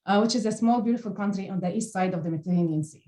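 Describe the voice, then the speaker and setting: A woman's voice: medium pitch, very fast, dark tone, reverberant. A woman from Lebanon with a Lebanese accent, speaking as a panelist on an online webinar in a friendly, lightly self-mocking way. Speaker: sales rep, panelist. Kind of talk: webinar presentation.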